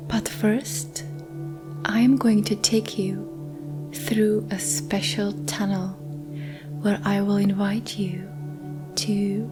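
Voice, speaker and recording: Woman whispering slowly over ambient music. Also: mid-range voice, flat delivery. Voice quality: soft, breathy whispering. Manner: gentle, hushed, soothing, with lingering pauses. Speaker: meditation guide. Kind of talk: slow whispered guided sleep meditation. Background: immersive ambient meditation music.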